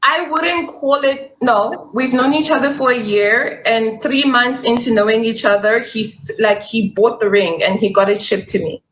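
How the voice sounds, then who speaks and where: A woman's voice: fairly high pitch, moderate pace, few pauses, fairly bright tone, slight background noise, rough recording. A young woman from Congo calling in to a live dating show, talking earnestly and listing her situation. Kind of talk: casual call-in chat.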